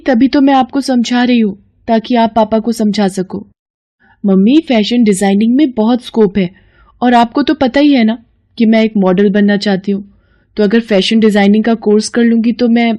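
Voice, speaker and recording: A woman's voice: a little high, steady tone, normal speed, warm tone, natural voice. A woman playing an eager young daughter in a dramatised story, earnest and persuasive. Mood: earnest pleading. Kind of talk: dramatised Hindi moral story.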